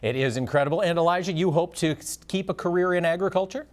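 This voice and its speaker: A man's voice: sits high, fast talker. A man hosting a farm news program, introducing guests and asking questions in an upbeat presenter manner. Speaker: news show host. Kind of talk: broadcast interviewing.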